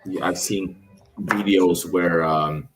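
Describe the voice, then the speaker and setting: A travel vlogger with a Russian accent talks in a very low voice. He rambles in a loose, casual way, often stopping to fix his wording. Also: fairly even intonation, takes it slowly, over music. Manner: rambling, with restarts and self-corrections. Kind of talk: casual talk over his own video footage.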